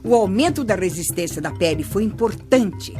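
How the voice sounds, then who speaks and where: An actress playing a kindly older Brazilian grandmother who teaches through stories in a children's radio drama, measured and expressive. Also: mid-range voice, lightly acted. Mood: patient, instructive. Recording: music bed under voice.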